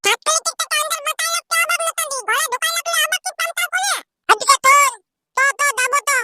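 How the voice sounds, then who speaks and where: A performer voicing a brash, slangy young village lad in a comedy cartoon, with a Purulia accent and a squeaky pitched-up voice. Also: quick pace. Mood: pushy, teasing. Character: rowdy young village lads squabbling and teasing, cartoon. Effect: pitched-up voice filter. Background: loud music.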